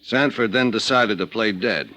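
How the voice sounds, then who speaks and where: An actor playing a brisk, businesslike police sergeant in a vintage radio drama. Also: male voice, fairly low pitch, sharp tone. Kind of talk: 1950s radio drama acting.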